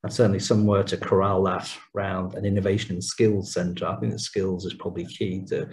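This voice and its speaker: A man's voice: low voice, little pitch movement, quick pace, a little mellow. A middle-aged man speaking as a panelist on an online video call, rambling loosely with many fillers. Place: small room indoors.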